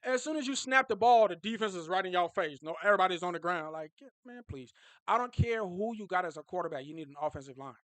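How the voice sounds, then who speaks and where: A young man giving a casual, animated fan reaction, repeating himself for emphasis and laughing as he rants. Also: high voice, quick pace, no room sound. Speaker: sports fan vlogger.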